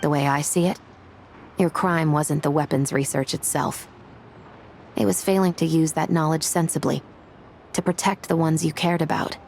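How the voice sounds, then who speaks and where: A woman playing a stern, principled official in a video game, speaking in a formal, firm and measured way. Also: deep voice, steady tone, a little mellow, a little hiss or hum. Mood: stern, urging. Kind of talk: dramatic video game voice acting.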